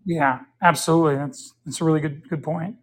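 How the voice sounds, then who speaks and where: A man's voice: a little high, fairly even intonation, brisk. Earnest man in his fifties, thinking aloud. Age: 50s. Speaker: interview guest, pickleball player. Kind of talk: casual interview chat.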